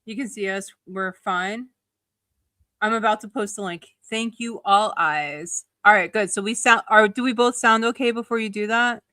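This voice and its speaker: Woman, bright voice, dry sound, effusive, casual interview host. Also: mid-range voice, moderate pace, dry recording.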